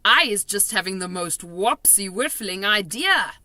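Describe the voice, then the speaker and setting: A woman's voice: mid-range voice, animated, a little slow, sharp tone, dry recording, voice pushed up. A woman plays a kindly old giant from a children's storybook. He speaks in a raised, swooping voice, earnest and emphatic. His grammar is muddled and peppered with nonsense words. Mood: excited.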